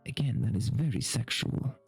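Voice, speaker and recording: A man's voice: medium pitch, animated, moderate pace, vocal fry, darkish tone, husky, faint music behind. A man doing a dramatic story reading for a stream, mock-serious and breaking into incredulous, sweary commentary.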